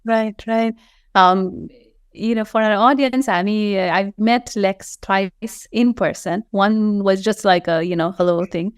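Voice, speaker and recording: A woman's voice: medium pitch, unhurried, smooth, no room sound, studio quality. A woman hosting an interview, asking questions and encouraging her guest with short affirmations. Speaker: host, interviewer. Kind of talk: casual interview.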